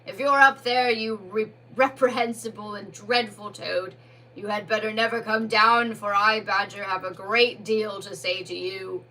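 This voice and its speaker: A woman voicing a big, grumpy old male badger who booms slow, solemn commands in old-fashioned speech. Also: fairly bright tone, low-quality recording. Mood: grumbling.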